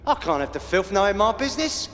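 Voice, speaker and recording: A man's voice: high voice, big pitch swings, fast, fairly bright tone, slight background noise. A man playing a stern, threatening criminal gang leader in a video game. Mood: stern threat. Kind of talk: video game cutscene acting. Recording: echoey hall, faint music behind.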